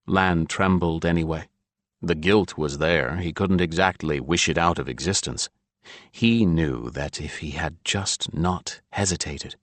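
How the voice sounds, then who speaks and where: Deep-voiced man narrating fantasy. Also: a little slow, a bit bright, dry recording. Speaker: audiobook narrator.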